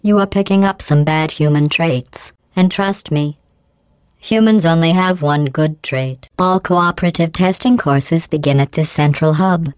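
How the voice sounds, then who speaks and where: A woman voicing a cold, sarcastic female AI computer that runs a test facility, speaking in a smooth, clipped, synthetic-sounding deadpan with passive-aggressive menace. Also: low-pitched, unhurried, dry recording. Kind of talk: video game voice clips.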